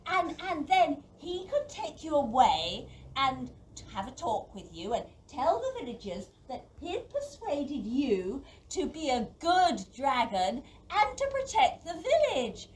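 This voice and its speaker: High, lively young friend played by a woman. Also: measured pace, hardly any pauses, a little hiss or hum. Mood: eager. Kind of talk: community theatre audio play acting.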